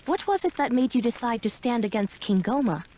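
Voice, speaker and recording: A woman's voice: on the high side, very animated, fairly quick, mellow, a little hiss or hum. A woman voicing a composed, courteous, centuries-old genderless tree-born being in an anime video game, formal and articulate.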